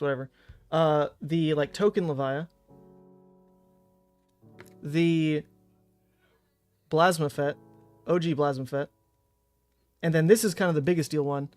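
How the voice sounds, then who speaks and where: Male streamer, hoarse and rambling, a touch high-pitched. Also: a little mellow. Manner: rambling and chatty, frequent "uh" and "like". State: hoarse, voice partly gone. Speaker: card game streamer. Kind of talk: casual livestream chat.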